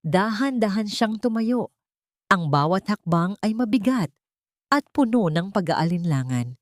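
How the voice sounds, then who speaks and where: A woman's voice: on the low side, a little mellow, dry recording, studio quality. A woman narrating a scripted audiobook story in a dramatic storytelling style.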